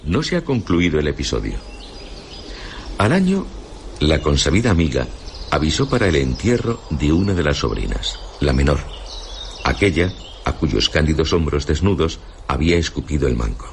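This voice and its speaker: A man's voice: very deep voice, sing-song, moderate pace, a little hiss or hum, exaggerated voice. An actor from a radio drama playing a grave older male storyteller with a Spain accent, telling a tragic village tale in a solemn, literary manner. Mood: solemn, sorrowful.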